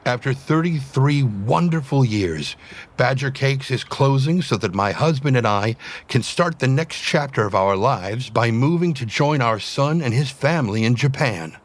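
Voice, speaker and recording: A man voicing a gentle, sentimental aging father animal in a motion comic, speaking tenderly and with emotion in a soft, husky voice. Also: mid-range voice, animated, a little slow. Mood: wistful.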